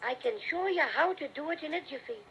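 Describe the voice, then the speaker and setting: A cheerful little teddy bear with a gravelly Irish lilt. The voice sits high and rough, and it comes through a dull, lo-fi old radio recording. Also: male voice, animated, fast, fairly bright tone, a little hiss or hum, full character voice. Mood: friendly. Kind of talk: 1930s radio play acting.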